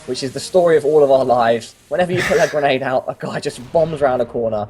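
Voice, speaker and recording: A man's voice: mid-range voice, quick pace. Brief: young man, amateur esports caster, chatty and jokey, music under the voice. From London. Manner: chatty, jokey, excitable on big plays. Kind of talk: casual esports commentary.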